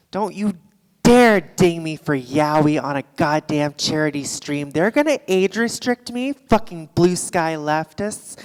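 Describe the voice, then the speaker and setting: An actress playing an irritable, foul-mouthed, sardonic game streamer in a live staged reading. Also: female voice, medium pitch. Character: irritable, foul-mouthed woman video-game livestreamer addressing chat. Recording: echoey theatre hall, live stage.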